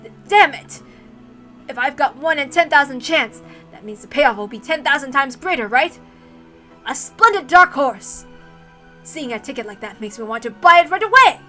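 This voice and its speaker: Woman playing a desperate, defiant, high-voiced brave man. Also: lively intonation, normal speed, sharp tone, faint music behind. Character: desperate, brave adult man Kraus, defiant gambler and ex-boxer.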